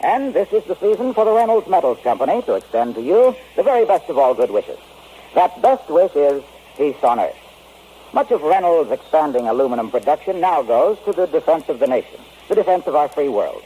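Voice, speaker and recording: A man's voice: a little high, big pitch swings, a little fast, warm tone, breathy edge, poor audio. A man reading a scripted vintage radio commercial as the announcer.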